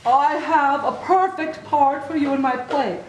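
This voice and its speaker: A woman's voice: high-pitched, unhurried, dull audio. A woman voicing a grand, admiring male chicken star in a storybook, speaking emphatically and full of compliments. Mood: admiring, emphatic. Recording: echoey room recording with clear noise.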